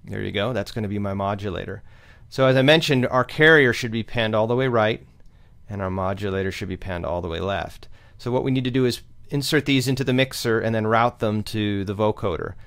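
A man presenting a step-by-step software tutorial in an explanatory, instructional way. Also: on the low side, brisk, gravelly.

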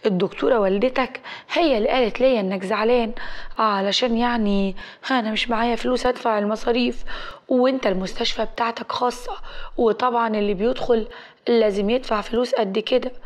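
A woman's voice: fairly high pitch, steady tone, fast talker, close to an everyday voice. A woman voicing a frightened, pleading young woman in an audio story, with an Egyptian accent. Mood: shaken, hurt. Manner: pleading, repeating herself.

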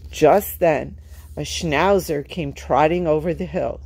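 A woman's voice: deep voice, takes it slowly, a little mellow, slightly rough, no room sound. A woman reading a children's picture book aloud as a storytime reader.

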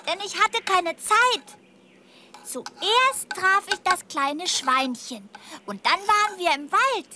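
A woman voicing a playful, excuse-making little boy tiger with a squeaky, bright voice in a children's audio play. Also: expressive, takes it slowly, a little hiss or hum, full character voice.

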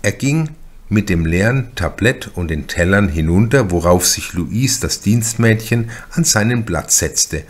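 A man narrates a crime novel in a low voice. His delivery is measured and deliberate, as scripted literary storytelling for an audiobook. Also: animated.

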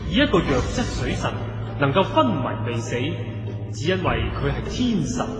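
A man playing a solemn, ancient dragon god of healing in a radio drama, making stately, measured pronouncements. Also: fairly high pitch, big pitch swings. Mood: grave, instructive. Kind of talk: Cantonese radio drama acting. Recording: long cavernous reverb, music behind.

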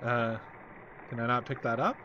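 A man's voice: mid-range voice, moderate pace, lo-fi sound, noisy background. A man in his thirties chatting casually while streaming a video game, close on a desk mic. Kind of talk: casual gaming chat. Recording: loud game music behind.

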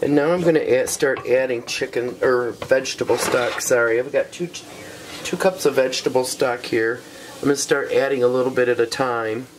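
A man with a cold, hoarse and stuffy, walking through a recipe step by step in a relaxed way with frequent ums. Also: medium pitch, normal speed, noisy background. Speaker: cooking show host. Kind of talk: casual cooking demonstration. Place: small home kitchen.

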